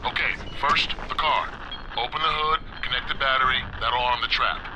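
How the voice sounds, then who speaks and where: A man playing a gruff, practical survivor in a video game, giving brisk, dry instructions with a sardonic edge. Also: mid-range voice, background noise, close to an everyday voice. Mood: brisk instructing.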